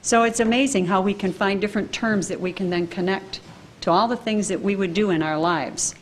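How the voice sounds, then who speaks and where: Recorded at a public meeting with a faint hum behind her, this woman speaks at an ordinary pitch in a relaxed, explanatory manner. Her delivery is upbeat and informal, like a staff member walking officials through what happens day to day. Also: fairly quick, rough recording. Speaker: program staff presenter. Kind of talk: public presentation at council meeting. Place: council meeting room.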